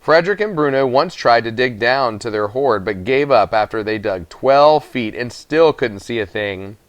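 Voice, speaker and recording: A man reads a children's novel aloud as scripted narration. His voice sits at a medium pitch, and the sound is dry with little room around it. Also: expressive, moderate pace, dry recording. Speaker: storybook reader.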